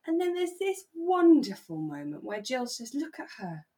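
A woman's voice: high voice, sing-song, moderate pace, warm tone. A woman co-hosting a podcast, chatting casually and retelling things expressively, acting out what people said. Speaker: podcast co-host. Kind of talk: casual chat.

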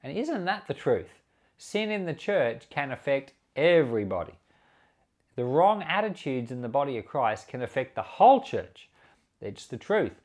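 Man, pastor, animated intonation, earnest and conversational, scripture reading and commentary, repeats for emphasis. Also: mid-range voice, moderate pace. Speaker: pastor and podcast host.

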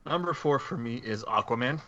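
Bright-voiced man on a lo-fi podcast. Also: medium pitch, animated, a little fast. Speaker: podcast co-host. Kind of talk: casual movie discussion. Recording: dull lo-fi audio, faint noise.

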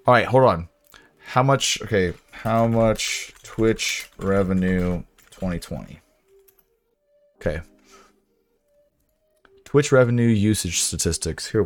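A man with a raspy, hoarse voice chatting casually with his livestream viewers, rambling, sarcastic and swearing as he reads figures aloud. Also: low voice. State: hoarse, sore voice.

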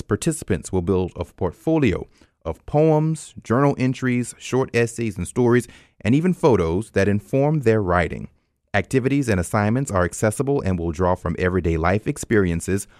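A man with an American accent and a fairly low voice, hosting a radio arts show. He talks to listeners directly and conversationally, with a close, dry studio sound. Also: no room sound. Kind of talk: scripted announcements and interview hosting.

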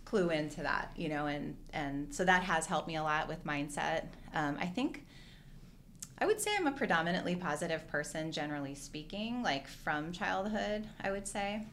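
A middle-aged woman from Hawaii talks as a podcast guest in an earnest, chatty way. Her voice sits low, with a gravelly fry running through it. She strings her thoughts together loosely with "like" and "you know". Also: expressive, normal speed, a bit bright, slight background noise. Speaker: podcast guest, real estate agent. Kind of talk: casual interview chat.

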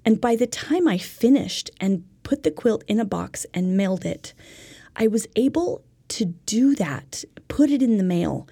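Husky woman giving personal testimony. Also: medium pitch, expressive, measured pace, mellow, dry recording. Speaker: co-presenter of a religious lesson. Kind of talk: personal testimony told as a teaching story.

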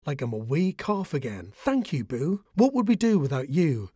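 A man voicing a gentle, generous giant elephant who keeps a flower stall in a bedtime storybook. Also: on the high side, very animated, moderate pace, breathy edge, dry recording, clean studio sound. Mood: genial.

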